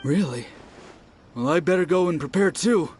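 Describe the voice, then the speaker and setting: A man's voice: fairly high pitch, animated, normal speed, a little mellow, slight background noise, lightly acted. A man voicing a curious, casual townsman in a video game who is eager for the latest rumor.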